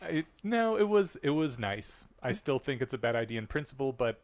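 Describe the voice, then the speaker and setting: A man's voice: medium pitch, very animated, normal speed. Blunt, wry man hosting a podcast. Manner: blunt, wry, swears casually. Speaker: podcast host. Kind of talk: casual chat.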